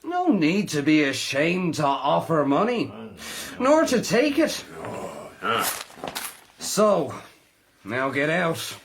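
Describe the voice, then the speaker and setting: High-pitched, blustering Irish land agent. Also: male voice, huge pitch swings, slow pace, pauses often, noisy background, full character voice. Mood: scornful, dismissive. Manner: blustering, sneering, domineering. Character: bullying, scornful male estate agent, greedy and contemptuous. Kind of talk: period radio drama acting.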